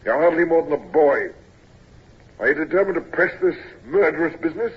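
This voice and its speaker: An actor playing a stern, anxious older naval officer in an old radio drama, speaking formally and with command. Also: male voice, fairly high pitch, expressive, a little fast. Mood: grave, urging. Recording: muffled, husky-sounding old radio recording.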